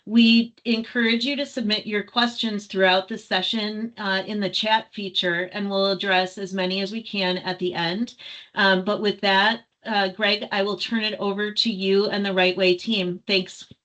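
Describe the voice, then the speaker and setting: A woman's voice: medium pitch, level tone, moderate pace, fairly bright tone, rough recording. A woman opening a business webinar, reading a prepared welcome with a few ums. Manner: reads a prepared intro with small ums. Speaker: webinar host. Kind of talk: scripted welcome remarks. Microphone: video call.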